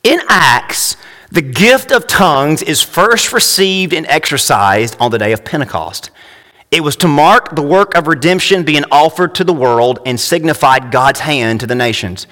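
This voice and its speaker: A man's voice: on the high side, animated, unhurried, pushed and bright. A man preaching a conversational sermon, his voice rising with emphasis when he reads scripture. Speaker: pastor. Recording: long hall reverb.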